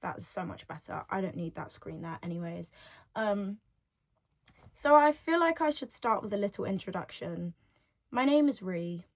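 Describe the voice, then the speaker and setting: A woman's voice: mid-range voice, lively intonation, normal speed. An eighteen-year-old woman talks on her solo podcast, chatty and rambling, often repeating her own phrases. Her voice sits in an everyday middle range. She records from home on a device's built-in mic, not a proper microphone. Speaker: podcast host.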